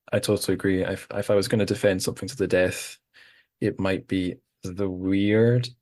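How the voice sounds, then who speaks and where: Low-voiced guy hosting a podcast, laid back, thinks out loud, lots of ums. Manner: relaxed, thinking aloud with fillers. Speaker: podcast host. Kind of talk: casual conversation.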